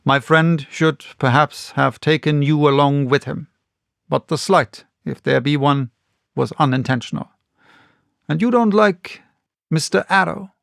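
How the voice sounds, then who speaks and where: A man voicing a composed gentleman doctor in an audiobook, courteous, firm and probing as he reasons. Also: fairly high pitch, unhurried, gravelly, dry recording, studio quality. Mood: reasonable. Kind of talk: audiobook character reading.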